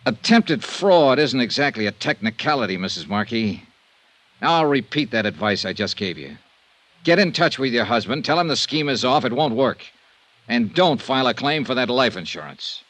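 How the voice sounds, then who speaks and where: An American actor playing a blunt, cynical insurance investigator in a 1950s radio detective drama. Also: male voice, medium pitch, big pitch swings, moderate pace, fairly bright tone, dry recording. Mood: blunt, firm. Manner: blunt, hard-boiled, wry. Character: tough, cynical male insurance investigator, radio noir hero. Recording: vintage radio drama recording, faint music.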